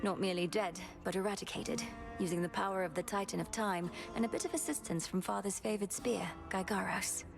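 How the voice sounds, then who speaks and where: A woman voicing a solemn, determined young witch goddess in a video game, with formal, measured, archaic phrasing. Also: medium pitch, gravelly, lightly acted. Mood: solemn, resolute. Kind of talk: scripted video game voice acting. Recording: music behind the voice.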